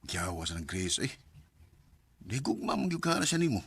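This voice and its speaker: Skeptical male friend, Bisaya accent, low raspy voice, lively intonation, blunt, radio drama. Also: normal speed, no echo, heavily acted. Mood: skeptical, prying. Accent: Filipino Bisaya. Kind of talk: Filipino radio drama acting.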